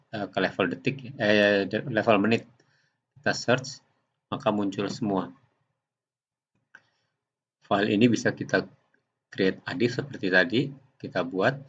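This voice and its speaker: A man gives an unscripted software demonstration, explaining step by step in a halting way. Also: on the low side, muffled recording. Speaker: software tutorial presenter. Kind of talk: unscripted screen demonstration narration.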